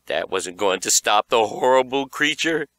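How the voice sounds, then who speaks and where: Guy narrating a kids horror audiobook, super expressive, smooth low voice, really dry recording. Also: moderate pace, dry recording. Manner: animated, expressive delivery. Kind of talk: dramatic scripted storytelling for young horror fiction.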